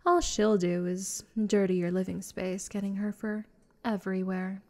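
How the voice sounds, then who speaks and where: A woman's voice: mid-range voice, normal speed, a little mellow, lightly acted. A woman playing a haughty, possessive vampire girl in a comedic roleplay audio drama, doting and teasing in her delivery. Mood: doting, smug. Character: smug, possessive, lovestruck vampire girl with a mansion.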